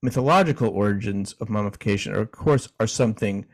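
A man's voice: lowish voice, fast. Easygoing man chatting on a podcast. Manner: easygoing, chuckling, frequent restarts. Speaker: podcast co-host. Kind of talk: casual chat, reading definitions aloud.

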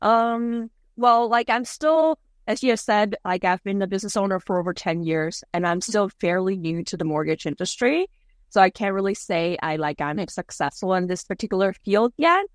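A woman's voice: lowish voice, no echo, clean studio sound. A woman whose first language is Cantonese, giving casual, chatty interview answers with frequent "like" and "you know". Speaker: interviewee, mortgage broker.

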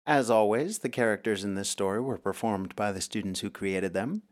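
A man's voice: lowish voice, normal speed. A man announcing as a podcast host, reading a scripted show sign-off. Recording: loud music under the voice.

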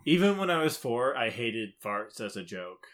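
A man's voice: medium pitch, big pitch swings, moderate pace, fairly bright tone. A man co-hosting a podcast in casual, playful banter, sometimes reading out quiz questions in a teasing way. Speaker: podcast co-host.

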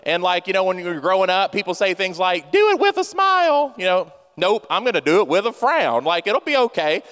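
High-voiced playful pastor in a reverberant hall. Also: male voice, big pitch swings, fairly quick, fairly bright tone. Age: 30s. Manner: playful, self-mocking, talks straight to the congregation. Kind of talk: humorous sermon. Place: church sanctuary. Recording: long church hall reverb.

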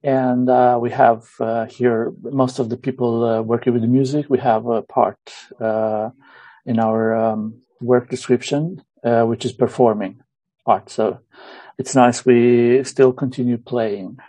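Romanian-accented man, middle-aged, halting and searching for words, mellow tone, dry close sound. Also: medium pitch, flat delivery, dry recording, rough recording. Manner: hesitant, many pauses and fillers. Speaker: guest musician. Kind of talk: casual interview.

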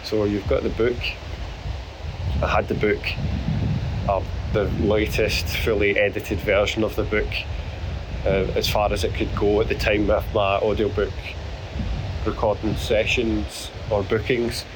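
A hoarse middle-aged Scottish man talks in a halting, self-deprecating ramble, his voice sitting fairly low. He records outdoors, with clear noise behind him. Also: level tone. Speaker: podcast host. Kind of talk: casual spoken diary. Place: outdoors on a beach.

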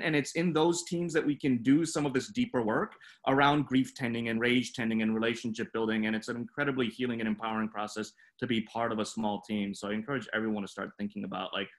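A man born in Japan speaks on a panel discussion in an earnest, encouraging tone. His voice sits at an ordinary middle pitch, and his talk is loose, with plenty of "like" and restarts. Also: a bit bright.

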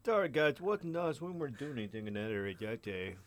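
A man's voice: medium pitch, big pitch swings, fast. A man co-hosting a podcast, bantering in a joking, hesitant way with many fillers. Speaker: podcast co-host.

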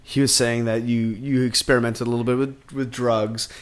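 A man's voice: mid-range voice, flat delivery, normal speed. A man co-hosting a casual podcast, asking the guest questions and reacting with short remarks. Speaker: podcast co-host. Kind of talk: casual chat.

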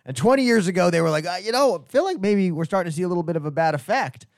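A man co-hosting a casual podcast chat, joking, putting on mock quotes and trailing off quietly. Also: high voice, animated, very fast, no room sound, studio quality.

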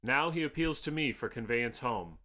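An actor playing a gracious, commanding king in a theatrical audio drama, with stately, measured oratory. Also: medium pitch, expressive, pushed and bright. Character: commanding, gracious king addressing his captains. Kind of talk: theatrical classical verse drama.

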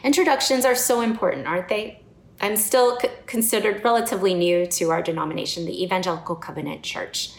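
A woman from the United States preaching a sermon in an earnest, personal and reflective way. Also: mid-range voice. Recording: echoey room.

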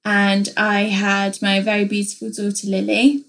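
A woman's voice: medium pitch, monotone, measured pace. A young woman from England, co-hosting a podcast in a casual, open, chatty way with many fillers. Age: young adult. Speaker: podcast co-host.

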